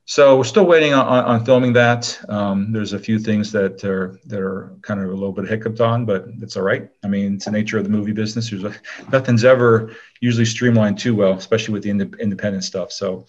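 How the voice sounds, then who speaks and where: Male interview guest, lower register, loose and rambling delivery with hesitant pauses. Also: fairly even intonation, muffled recording. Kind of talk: casual interview chat.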